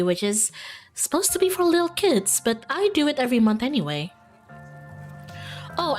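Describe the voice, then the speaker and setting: A chatty young small-town woman from a visual novel, read out by a woman streamer. She is upbeat and easygoing, with lively swings in pitch. Music plays softly underneath. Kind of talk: visual novel character reading. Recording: music in the background.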